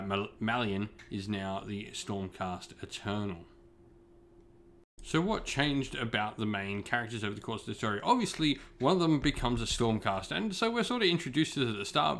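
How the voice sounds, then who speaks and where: A man's voice: on the low side, slight background noise. A man hosting a hobby gaming channel, giving a spoken review in loosely phrased, explanatory talk.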